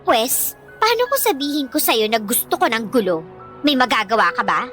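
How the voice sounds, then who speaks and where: A woman's voice: a little high, sing-song, normal speed, a bit bright, soft background music. A woman playing a mean, haughty teenage schoolgirl bully in a radio drama, taunting and sneering theatrically with a Filipino accent. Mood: sneering, demanding.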